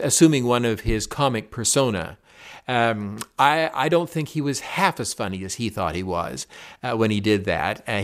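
A man who worked as a broadcast commentator, telling wry, dry anecdotes in an interview with some hesitations. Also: mid-range voice. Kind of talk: reminiscing interview.

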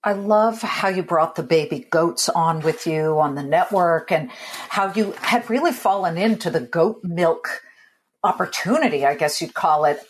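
Animated, chatty woman host. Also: lowish voice. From California. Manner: chatty, animated, laughs easily. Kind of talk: podcast interview.

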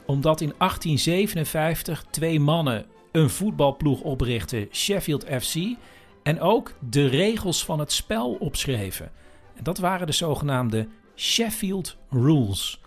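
A man hosting a podcast with informal scripted narration. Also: a little high. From Netherlands. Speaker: podcast host.

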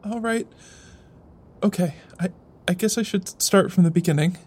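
A naive, guilt-ridden teenage boy played by a performer in an audio drama. He stammers anxiously and apologetically in a medium-pitched young voice. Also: normal speed, darkish tone, slightly rough, natural voice. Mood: nervous, halting.

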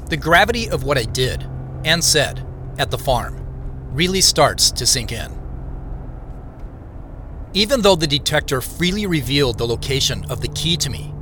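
An actor playing an introspective man who narrates his journey in the first person, earnest, reflective and measured. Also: medium pitch, noisy background, lightly acted. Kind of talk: scripted first-person audio drama narration.

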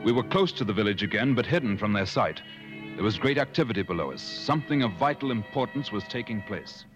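An actor playing an earnest male adventurer who narrates a safari tale in measured, dramatic 1950s radio style. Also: lowish voice, expressive, lo-fi sound, close to an everyday voice. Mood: grave, suspenseful. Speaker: narrator and character in a radio play. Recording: old radio broadcast with music.

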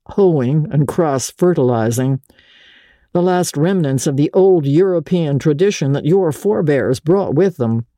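A woman's voice: sits low, mellow, no echo, clean studio sound. A woman voicing a bitter, impassioned male intellectual in a scornful, exhorting dramatic reading of a classic novel.

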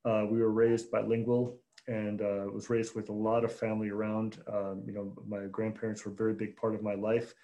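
A man in his forties from Chicago, a journalist answering interview questions in a measured way, with many fillers and restarts. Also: fairly low pitch, completely flat delivery, dark tone, rough recording. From Chicago, United States. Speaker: journalist guest.

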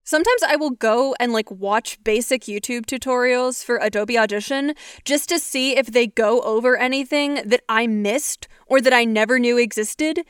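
A woman's voice: a little high, moderate pace, hardly any pauses, clear, resonant tone, dry recording, studio quality. A woman hosting a podcast, asking articulate, curious questions about sound design. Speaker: podcast host interviewing guests. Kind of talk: interview questions.

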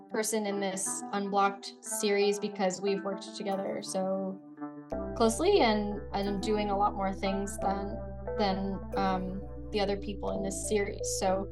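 A woman hosting a podcast, speaking casually with many fillers and restarts. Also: mid-range voice. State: lost voice, whispering. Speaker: podcast host.

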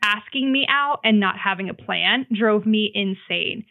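A woman's voice: mid-range voice, measured pace, vocal fry. A woman guest on a podcast telling personal stories in casual chat, animatedly acting out what people said, over a remote call. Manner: animated storyteller, acts out quoted lines. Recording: phone-like remote call audio.

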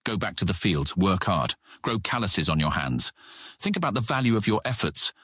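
An actor playing an older, authoritative guild deputy in a fantasy audiobook, formal and condescending, used to being obeyed. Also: male voice, low voice, sing-song, moderate pace, sharp tone, slightly rough, no echo, studio quality, full character voice. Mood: cold, indignant. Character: commanding, stern, suspicious older male guild deputy. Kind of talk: full-cast fantasy audiobook acting.

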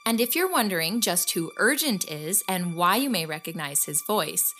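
A woman playing an earnest investigative podcast host, narrating a scripted documentary in a measured storytelling way. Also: mid-range voice, a bit bright, lightly acted. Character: earnest female investigative podcast host recounting a hero's cases.

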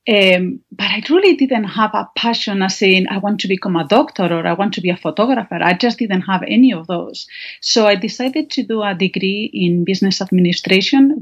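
A woman's voice: mid-range voice, husky. A woman from Spain with a Spanish accent, telling her personal story as a guest author in an interview. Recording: dull, band-limited lo-fi sound.

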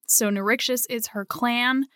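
A woman's voice: on the high side, steady tone, a little slow, sharp tone, no room sound, clean studio sound. A woman chatting casually as a player on a tabletop game podcast. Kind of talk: casual chat.

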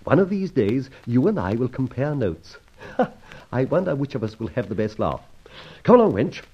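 An actor playing a suave, self-amused gentleman adventurer in an old radio serial, speaking in an arch, playfully pompous, theatrical way. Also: medium pitch, big pitch swings, normal speed, slightly rough. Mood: gleeful, boastful. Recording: dull lo-fi old radio recording, faint music.

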